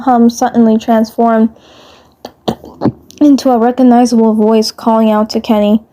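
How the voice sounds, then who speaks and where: A woman with a fairly high voice narrates a written story at an unhurried, very slow pace. Her reading is halting, and she often stumbles over a word and says it again. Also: warm tone. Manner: halting, stumbles and rereads words. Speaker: fan fiction narrator. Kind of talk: narrating a scripted story aloud.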